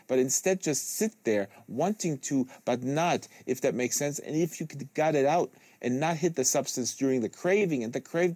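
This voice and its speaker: A man reading literary prose aloud like an audiobook, with deliberate phrasing, close to a studio microphone. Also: mid-range voice, darkish tone, no echo. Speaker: host reading a novel aloud. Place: small studio with acoustic foam panels.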